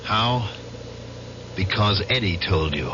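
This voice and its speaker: Earnest American veteran, noisy old recording. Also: male voice, fairly low pitch, lively intonation, takes it slowly, sharp tone, dull audio, heavily acted. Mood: accusing. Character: earnest, insistent American veteran confronting his old comrades. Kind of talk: old-time radio drama acting.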